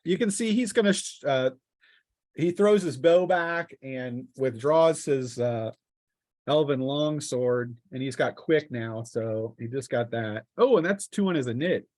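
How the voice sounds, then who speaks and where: A man's voice: medium pitch, expressive, measured pace, a little mellow, no room sound, clean studio sound. A man running a tabletop roleplaying game as game master, narrating combat casually with vivid scene-setting.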